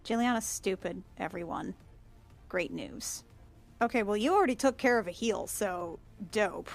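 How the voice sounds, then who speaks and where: A woman's voice: medium pitch, animated, normal speed, creaky, faint music behind. A woman playing a tabletop role-playing game, chatting casually with an irreverent, sweary manner.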